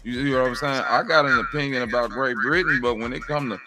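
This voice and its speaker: A man's voice: mid-range voice, fast talker, poor audio. A man co-hosting a casual livestream panel, talking in a rambling, profane way. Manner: rambling, profane, repeats "you know what I'm saying".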